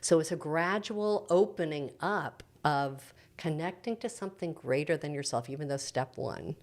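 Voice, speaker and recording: A woman's voice: low-pitched, normal speed, darkish tone. A middle-aged woman speaking as a church preacher in an interview, explaining things in an earnest, reflective, teacherly way.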